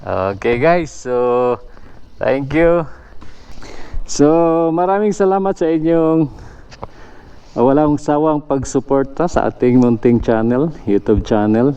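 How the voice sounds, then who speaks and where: A man's voice: mid-range voice, takes it slowly, mellow, clean tone, dull audio. A Filipino man presenting motorcycle gear in a casual, explanatory way, often addressing his viewers. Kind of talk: casual product demonstration.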